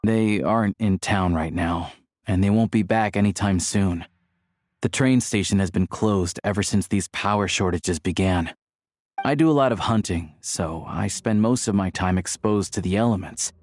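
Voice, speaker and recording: A man's voice: low voice, normal speed, darkish tone, dry recording, clean studio sound. A man voicing an easygoing, friendly young hunter in a video game, with a casual, wry manner. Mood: resigned.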